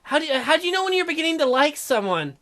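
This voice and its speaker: A man voicing a confused, self-conscious teenage girl heroine, speaking haltingly in a visual novel. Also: very high-pitched, very animated, fairly bright tone, full character voice. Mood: awkward, hesitant.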